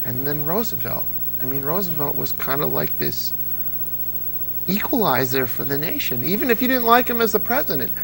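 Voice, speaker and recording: Gravelly, emphatic American man telling stories. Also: a little high, big pitch swings, moderate pace, music in the background, poor audio. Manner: emphatic, repeats phrases for effect. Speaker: interview guest, old-time radio fan. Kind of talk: animated conversational storytelling.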